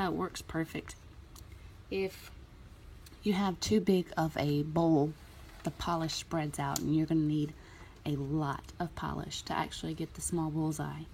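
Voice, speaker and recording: A woman in a relaxed, chatty way explaining a nail art tutorial, with plenty of ums. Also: deep voice, gravelly, slight background noise. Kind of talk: casual tutorial talk.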